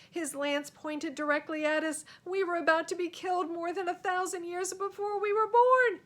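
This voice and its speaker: High-pitched, very animated woman narrator. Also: a little hiss or hum. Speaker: storybook reader. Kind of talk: expressive scripted narration for children.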